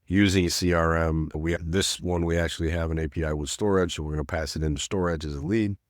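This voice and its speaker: A middle-aged man with a slightly nasal voice from a cold, explaining a software setup in a loose, rambling way full of "you know" and restarts. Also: low voice, fairly even intonation, fast talker, no echo, studio quality. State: getting over a cold. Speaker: self-storage business owner teaching automation. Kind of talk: screen-share tutorial narration.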